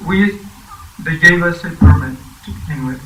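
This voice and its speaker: A man on a symposium panel recounting his long path to citizenship in a steady, plain way. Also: a little high, moderate pace, faint music behind. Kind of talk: personal testimony. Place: church hall. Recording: echoey church hall from far off.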